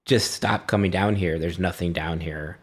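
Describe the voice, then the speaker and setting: A man's voice: deep voice, fairly even intonation, normal speed, slightly rough. A man voicing an irritated undead male dwarf who guards his crypt home, exasperated and casual, in a tabletop game. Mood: weary, annoyed.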